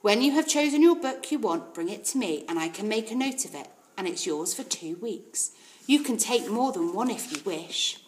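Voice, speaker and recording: A woman's voice: mid-range voice, animated, normal speed, a little hiss or hum, lightly acted. A woman voicing a kindly, encouraging female village librarian in a storybook reading. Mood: helpful. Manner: gentle, encouraging. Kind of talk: storybook character voice.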